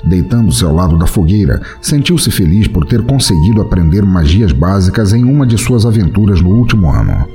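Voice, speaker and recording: Deep, dark-voiced man narrating dramatically. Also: lively intonation, a little fast, hardly any pauses. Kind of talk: dramatic scripted narration of a dark fantasy tale. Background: music.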